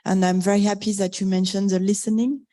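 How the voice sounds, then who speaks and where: A woman with a dark, mellow voice at an average pitch speaks off the cuff in a roundtable discussion. Her delivery is halting and agreeable, feeling its way through each point. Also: little pitch movement. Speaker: crisis-response professional, roundtable participant.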